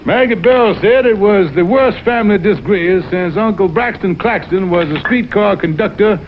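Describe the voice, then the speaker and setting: A man's voice: sits high, normal speed, a little hiss or hum. A man voicing a scheming con-artist fox colonel in a 1960s cartoon, stammering and wheedling. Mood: chatty, scheming. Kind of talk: 1960s TV cartoon sitcom acting. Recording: dull vintage TV audio with music.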